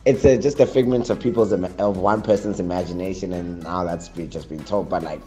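A man with a fairly low voice talks as a listener on a radio show. He comes across as playful and incredulous. Light music sits behind him. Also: steady tone, brisk, mellow, low-quality recording. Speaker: radio listener sending a message.

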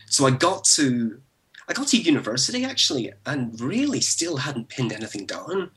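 Bright-toned Belfast man in his forties. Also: medium pitch, sing-song, moderate pace. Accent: Northern Irish, Belfast. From Northern Ireland. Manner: reflective, with many restarts and soft laughs. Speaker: guest. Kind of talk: relaxed interview over a call.

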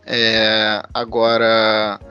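A man in a casual panel discussion, speaking haltingly and repeating words as he thinks. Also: fairly low pitch, completely flat delivery, pushed and bright, clear, resonant tone, muffled recording, faint music behind. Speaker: panel participant.

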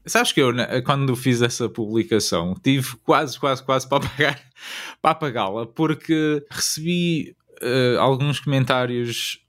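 A man chatting casually as an interview guest, halting and amused at himself. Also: medium pitch, a bit bright. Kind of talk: casual chat.

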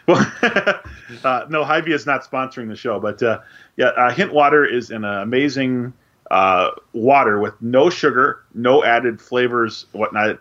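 A man co-hosts a casual sports podcast, trading dry jokes and reading out news items at a steady pace. His voice sits in an ordinary middle range. The recording sounds dull and a bit lo-fi. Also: medium pitch. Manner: dry joking, reads news in a measured way. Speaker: podcast co-host. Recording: dull lo-fi mic.

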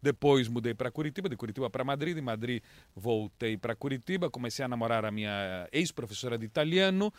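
A Brazilian man, an international correspondent, who speaks with a mixed accent and tells stories with lively swings in pitch. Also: fairly quick, no room sound. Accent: mixed Brazilian Portuguese. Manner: animated storyteller, lively pitch jumps. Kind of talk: casual interview chat.

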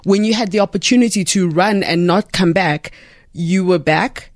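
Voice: mid-range voice, moderate pace, dry recording. Earnest, effusive interview host, dry sound. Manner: earnest, effusive, full of fillers and restarts. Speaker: podcast host. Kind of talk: casual interview chat.

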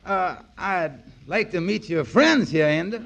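A gravelly, high easygoing man on old radio. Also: expressive, unhurried, fairly bright tone, heavily acted. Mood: curious. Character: friendly, easygoing man back from a trip. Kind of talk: 1940s radio comedy acting. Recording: noisy old radio broadcast, far off.

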